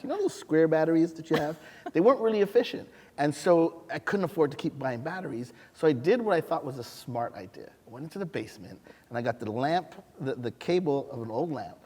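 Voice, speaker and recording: A man from Canada telling a humorous story with animated energy on a panel. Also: fairly high pitch, fairly quick, slightly rough. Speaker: science museum chief executive. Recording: long hall reverb.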